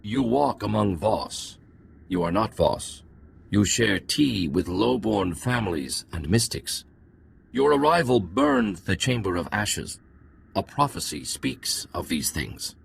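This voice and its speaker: An actor playing an aloof, solemn alien ruler in a video game, giving stately, oracular pronouncements. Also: male voice, on the low side, very animated, takes it slowly, vocal fry, slight background noise, exaggerated voice. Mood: stern. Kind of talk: dramatic video game acting.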